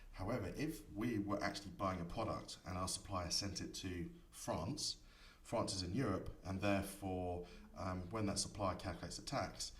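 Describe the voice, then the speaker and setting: A man giving a software tutorial, explaining each setting step by step in an instructor's manner. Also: deep voice. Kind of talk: screen-recorded tutorial explanation. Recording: echoey room, distant mic, faint noise.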